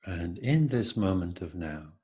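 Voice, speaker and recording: A man leading an unscripted, reflective guided meditation, speaking gently in halting phrases with long pauses. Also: low voice, animated, husky.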